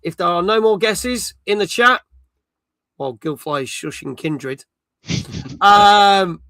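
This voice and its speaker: A man's voice: high-pitched, slow, creaky, pushed and bright, dry recording. A British man in his forties hosting a gaming live stream, chatting casually and reading out viewer comments. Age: 40s.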